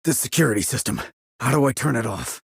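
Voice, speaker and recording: A man playing a gruff, demanding military operative in a video game, raspy and gravelly, with curt delivery. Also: medium pitch, animated, fast talker, no room sound, clean studio sound. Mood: pressing, irritated. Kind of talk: dramatic game dialogue.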